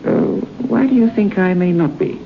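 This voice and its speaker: An actor playing a brusque, irritable English hotel guest in an old radio drama. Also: male voice, high-pitched, moderate pace, creaky, slight background noise. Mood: curt. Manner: curt, clipped, irritable. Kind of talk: 1940s radio acting. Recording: muffled old radio transcription.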